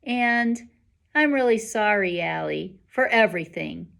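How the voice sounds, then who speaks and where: A woman's voice: mid-range voice, lively intonation, a little slow, vocal fry, a bit bright. Woman voicing an earnest, friendly schoolgirl. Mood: apologetic. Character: softened, apologetic schoolgirl, friendly.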